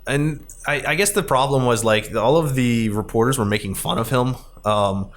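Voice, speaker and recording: A man's voice: medium pitch, moderate pace. A man hosting a podcast in a casual, rambling, conversational style, as he recaps a film. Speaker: podcast host.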